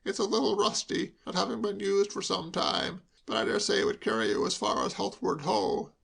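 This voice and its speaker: A man's voice: high voice, fairly even intonation, normal speed, vocal fry, fairly bright tone, breathy edge, pitched above the performer's own voice, exaggerated voice. A man voicing a long-winded, deferential chauffeur who rambles on politely and addresses others as sir. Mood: reproving. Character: chatty, proper working-class male chauffeur.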